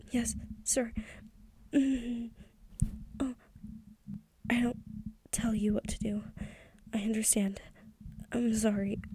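A woman's voice: a little high, no echo. A young woman whispering close to the mic in a pleading, submissive tone for an intimate audio roleplay. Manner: breathy whispering, close and hushed.

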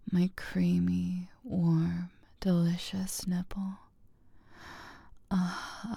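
A woman whispers close to the microphone in a breathy, husky hush, coaxing and unhurried. Her voice sits low and mellow, with a gravelly fry when she hums between phrases. The sound is dry and intimate, with no room around it. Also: dry recording. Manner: slow, drawn-out phrasing with hums. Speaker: audio roleplay performer. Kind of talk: whispered intimate audio roleplay.

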